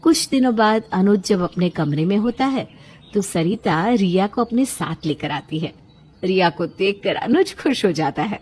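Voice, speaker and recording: A woman narrator with a medium-pitched, husky voice. She reads a scripted story in storyteller fashion, as bedtime narration. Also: expressive, measured pace, a little mellow.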